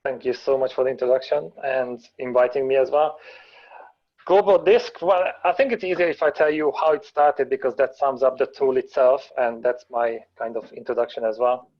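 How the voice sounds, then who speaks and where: A man's voice: medium pitch, moderate pace. Man presenting over a muffled call. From Hungary. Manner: steady, explanatory storytelling. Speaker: guest presenter. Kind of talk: webinar presentation. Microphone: video call. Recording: dull, muffled webinar audio.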